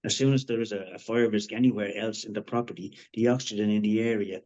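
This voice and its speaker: A male actor plays a wounded man in the middle of a crisis in a screenplay drama. He pushes his words out urgently and haltingly, with bitter sarcasm. The audio is dull and low in fidelity, like an online call. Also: fairly even intonation, darkish tone, close to an everyday voice. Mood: grim, urgent. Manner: halting, urgent, foul-mouthed. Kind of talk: dramatic live screenplay table read.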